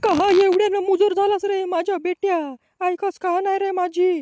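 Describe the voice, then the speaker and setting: A woman's voice: very high voice, level tone, normal speed, mellow, no room sound, studio quality. A woman voicing a suspicious, nagging elderly village woman with a rural Marathi accent in a comic cartoon. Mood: nagging suspicion. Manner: nagging, scolding.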